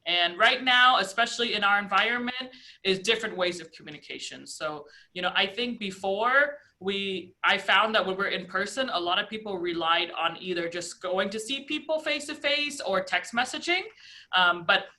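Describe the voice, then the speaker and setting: A woman's voice: mid-range voice, normal speed, bright, forceful tone. A woman on a video call panel giving earnest coaching advice, filling her talk with "you know" and "right".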